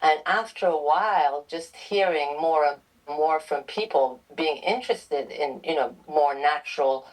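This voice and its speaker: A woman's voice: low voice, rough recording. A woman from Iran, a guest author, answering interview questions thoughtfully with frequent ums. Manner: slow, thoughtful, many ums.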